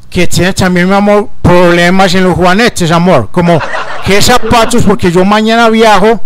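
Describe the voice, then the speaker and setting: A man's voice: high voice, slight background noise. A man playing a folksy, affectionate old Colombian countryman in his late seventies, hesitant and rambling, on a prank phone call. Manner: hesitant, rambling, repeatedly endearing.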